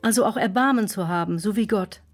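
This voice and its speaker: A proud woman with a curt, formal way of speaking and a medium-pitched voice, played by an actress for a children's radio play. She sounds like someone who guards her dignity. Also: brisk, soft background music, close to an everyday voice. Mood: humbled, sincere. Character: Gabi, proud bitter woman who softens.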